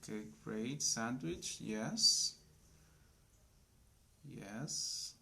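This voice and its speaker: A man in his thirties from Colombia teaches a live English class for beginners, talking very slowly and with a gravelly rasp. He keeps his words simple and chats with viewers by name. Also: mid-range voice, bright, forceful tone. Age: 30s. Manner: slow, simple speech for beginners; chatty, greets viewers by name. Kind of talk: live online English lesson.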